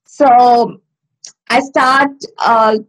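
A woman from Bangladesh with a fairly high voice, speaking English as a second language after Bangla. She talks in a halting way, with many fillers and restarts. She is heard on a video call from home. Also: fairly even intonation, pushed and bright, rough recording. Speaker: learner. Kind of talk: casual video-call interview. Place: home in Stockport.

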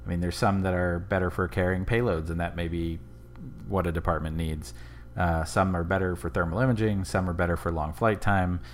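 Male podcast co-host, very low pitch, brisk conversational delivery, light noise behind. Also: steady tone.

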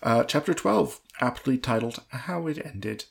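A woman's voice: very deep voice, expressive, measured pace, vocal fry, breathy edge. A woman co-hosting a podcast, reading a story dramatically and chatting casually with wry amusement. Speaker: podcast co-host.

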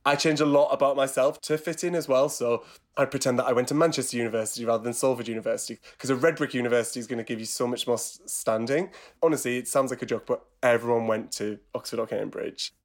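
A man's voice: mid-range voice, steady tone, fast talker. Candid man in publishing. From Teesside, England. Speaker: trade publisher. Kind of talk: interview.

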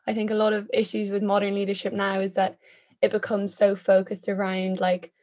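A young woman from Ireland speaks as a student guest in a podcast chat. Her delivery is flat and thoughtful, at a middling pitch. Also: fairly quick. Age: young adult.

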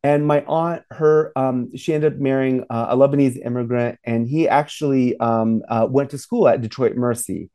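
Man, 40s, from Saudi Arabia, author guest, mellow, meandering with fillers. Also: mid-range voice, fairly even intonation, normal speed, hardly any pauses. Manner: rambling, many fillers and restarts. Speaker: author and professor. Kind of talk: interview guest.

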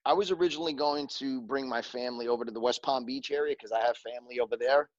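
A man's voice: medium pitch, fast talker, no room sound. A New York-accented man joins as a guest over a remote call. The audio sounds dull and very dry. He talks easily and often repeats words as he starts a sentence. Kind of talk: casual interview.

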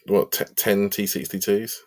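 Male co-host, low voice, brief wry interjections. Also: steady tone, a little slow, darkish tone. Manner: short dry quips and interjections. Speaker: podcast co-host. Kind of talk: casual chat.

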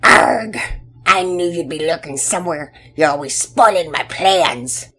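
A woman voicing gruff male pirates in a storybook reading, growling pirate talk with loud exclamations. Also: fairly low pitch, lively intonation, unhurried, faint music behind, full character voice. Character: gruff male pirates, Redbeard and Cockeye Jay.

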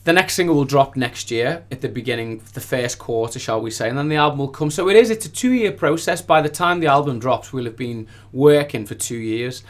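A man's voice: medium pitch, animated, fairly quick, hardly any pauses. A man who is a recording artist, chatting casually and informally in a studio interview, often repeating phrases for emphasis.

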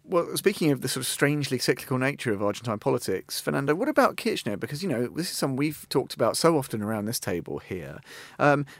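A man's voice: medium pitch, sing-song, fast talker, creaky, no room sound. A man hosting a radio studio discussion, steering guests with friendly, wry banter. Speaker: radio host.

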